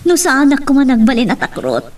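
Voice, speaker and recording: Radio drama mother: Ilocano accent, tender and pleading, fairly high voice, off the mic. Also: huge pitch swings, fast talker, darkish tone, distant mic. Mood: tearful, pleading. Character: tender, pleading mother seeking her daughter's love.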